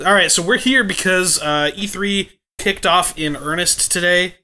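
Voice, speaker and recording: A man co-hosting a casual podcast, sounding stuffy from allergies and chatting in a relaxed way. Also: high-pitched, measured pace. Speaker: podcast co-host. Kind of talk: casual chat.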